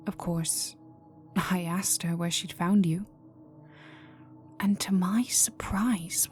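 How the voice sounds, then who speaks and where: A woman playing a cold, haughty vampire aristocrat in a dark ASMR roleplay, condescending and teasing, often dropping to a whisper. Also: medium pitch, lightly acted. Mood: guarded, disdainful. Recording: voice over dark ambient music. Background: dark atmospheric music with resonant tones.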